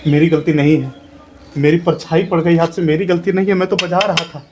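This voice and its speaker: A man's voice: a little high, fairly even intonation, a little fast, noisy background, close to an everyday voice. An actor playing a downtrodden laboring man who begs not to be beaten and cries out to God, in a repetitive, wailing delivery. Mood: pleading. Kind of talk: stage monologue acting.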